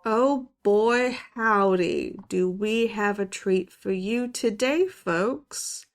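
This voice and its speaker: A woman playing a folksy, showy theatre-department announcer in an audio drama commercial, drawing out words teasingly. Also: mid-range voice. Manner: folksy cowgirl hollering, teasing, drawn-out delivery.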